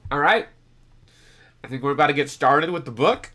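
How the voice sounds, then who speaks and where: A man with a fairly high voice and very lively intonation, a little congested in the nose. He chats with his stream viewers in a playful, rambling manner, with music playing underneath. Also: normal speed. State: slightly stuffy nose from congestion. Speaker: live streamer and reader. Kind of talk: casual chat with live stream viewers. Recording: music behind the voice. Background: background music with guitar.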